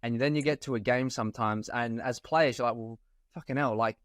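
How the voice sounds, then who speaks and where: Male co-host, casual and talkative, mid pitch, dry close recording. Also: fairly quick, no echo. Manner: chatty, swears casually, animated asides. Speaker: podcast co-host. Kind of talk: casual podcast chat.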